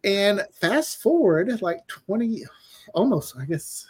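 A man's voice: high voice, expressive, takes it slowly, a little mellow, slightly rough. A man speaking as a writer guest, casually recounting how his work came about. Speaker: guest, audio drama writer.

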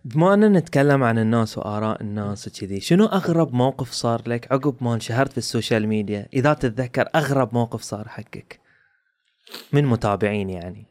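A man's voice: mid-range voice, lively intonation, fast, a little mellow. A man hosting a casual podcast interview, reacting briefly and asking short follow-up questions. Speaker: podcast host.

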